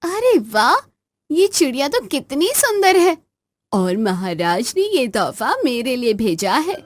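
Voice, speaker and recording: Woman doing vain bossy cartoon queen, high and swoopy, wants it all. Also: very animated, slow pace, heavily acted. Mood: delighted, possessive. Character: vain, possessive queen, bossy rival wife. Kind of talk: cartoon story dialogue.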